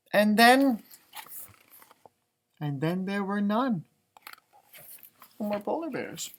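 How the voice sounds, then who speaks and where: A man reading a children's picture book aloud in an animated way, stretching out read phrases and adding chatty, exclaiming asides. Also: high voice. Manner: animated, exclaiming, drawn-out words when reading. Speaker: storybook reader, elementary music teacher.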